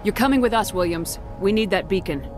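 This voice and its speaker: An actress playing a firm, steady military commander who speaks with direct authority in a video game cutscene. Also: female voice, mid-range voice, brisk, natural voice. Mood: commanding. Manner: authoritative, direct, clipped questioning. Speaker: squad commander. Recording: music behind, game soundscape.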